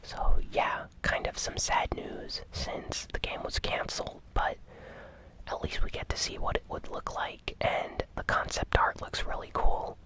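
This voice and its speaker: A host whispering a casual gaming news recap in a breathy, conversational ASMR style. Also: a little slow.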